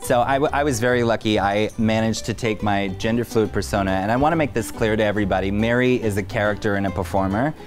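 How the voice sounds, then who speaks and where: A man, a drag performer, telling stories in an animated, expressive way during an interview. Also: on the low side. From South Africa. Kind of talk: casual interview chat. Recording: music playing behind. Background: background music.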